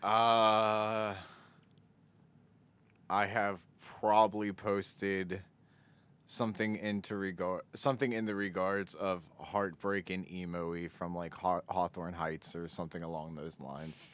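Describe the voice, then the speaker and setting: A man's voice: low-pitched, flat delivery, takes it slowly, fairly bright tone, smooth. A young man co-hosting a podcast in casual banter, rambling and swearing freely. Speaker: podcast co-host.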